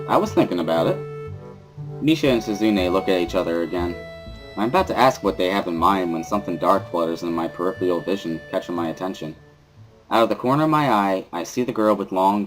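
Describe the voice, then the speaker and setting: A man narrates a first-person story in a low, lively voice. His delivery is introspective and measured, like a dramatic reading. Guitar music plays clearly behind him. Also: poor audio.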